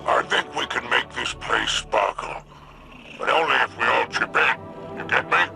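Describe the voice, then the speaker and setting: A man's voice: mid-range voice, unhurried, vocal fry, sharp tone, soft background music, full character voice. A man voicing a greedy, wheedling alien crime boss in a video game, talking like a sly salesman. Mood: sly, coaxing. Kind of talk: video game cutscene acting.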